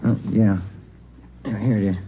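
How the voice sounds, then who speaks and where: Plain-spoken detective sidekick, muffled radio. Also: male voice, lowish voice, steady tone, normal speed, vocal fry. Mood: curious. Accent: American. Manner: casual, short matter-of-fact replies. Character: easygoing, plain-spoken male detective's partner. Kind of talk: 1950s radio police drama acting. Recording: muffled old radio broadcast, background hiss.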